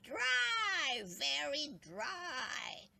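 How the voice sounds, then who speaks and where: Give this to a small, excitable woodland creature from a storybook. The voice is extremely high and piercingly bright, with a gravelly creak at the edges. It exclaims urgently, stretching the words out very slowly. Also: female voice, heavily acted. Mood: worried.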